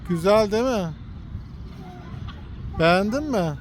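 A man's voice: sits high, animated, slow pace, a faint crowd, a little hiss or hum. Father, casual phone-filmed vlog at an outdoor park; patient, coaxing tone aimed at a toddler. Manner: gently coaxing, points things out to a small child. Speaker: father filming his son. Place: outdoor park with cartoon statues.

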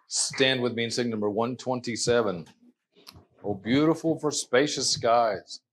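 A man's voice: medium pitch, measured pace. A man leading a church service, addressing the congregation to announce readings and hymns. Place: small church sanctuary, indoors.

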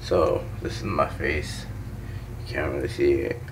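A young man with a hoarse, sick morning voice, rambling casually to viewers in a phone vlog from his bedroom. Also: extremely low pitch, fairly even intonation, measured pace, background noise. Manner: rambling, filler-heavy, addresses viewers as guys. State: hoarse from being sick and just woken up. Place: dim bedroom at home, indoors. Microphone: phone held in hand.

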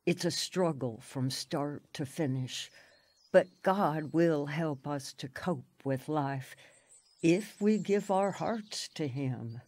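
A woman's voice: deep voice, slow, a little mellow, no room sound. A woman playing a pious, plainspoken old country grandmother in a radio drama, solemn and folksy in manner. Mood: grave, consoling.

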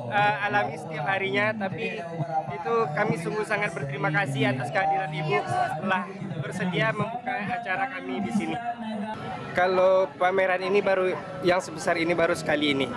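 A man's voice: high-pitched, flat delivery, fairly quick. A young man interviewed outdoors at an exhibition booth, hesitant with many fillers and restarts. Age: young adult. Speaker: local resident interviewed. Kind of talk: street interview. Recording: loud crowd noise outdoors. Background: busy crowd.

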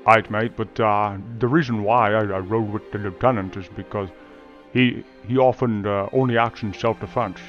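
A man's voice: lowish voice, fairly even intonation, normal speed, highs cut off, soft background music. Wheedling man with a put-on accent. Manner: streetwise, wheedling, ends lines with "mate". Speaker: player in a roleplay server. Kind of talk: in-character game roleplay over voice chat.

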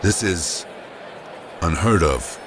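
A man's voice: low voice, lively intonation, takes it slowly, in a large hall, background noise. An actor playing a solemn, fatherly elder leader of warriors in a fantasy audio drama, stately and formal. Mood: grave.

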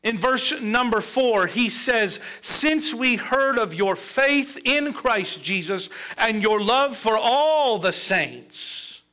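A middle-aged man preaching a sermon from a church pulpit, building up points with pauses and moving around as he speaks. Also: very high-pitched, animated, pushed and bright. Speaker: pastor. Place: church sanctuary with a colorful children's stage set.

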